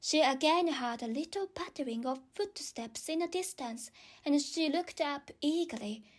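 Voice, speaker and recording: A woman's voice: sits high, expressive, moderate pace. A woman with a non-native English accent reading a children's story as an audiobook narrator.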